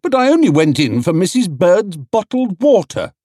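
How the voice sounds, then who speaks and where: A man's voice: high-pitched, sing-song, moderate pace, mellow, dry recording, clean studio sound, pitched above the performer's own voice. A man doing an earnest, polite young bear. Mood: dismayed. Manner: earnest, dismayed.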